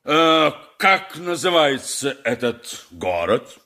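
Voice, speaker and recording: A menacing, drunken rogue of a sea captain in a radio drama. The delivery is slow and wildly swooping, in a raspy, forceful voice with theatrical relish. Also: male voice, medium pitch, big pitch swings, exaggerated voice. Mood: gleeful, scheming. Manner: theatrical, swooping, talks to his vodka. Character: boozy, roguish, menacing old-style sea captain. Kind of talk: 1980s radio play acting.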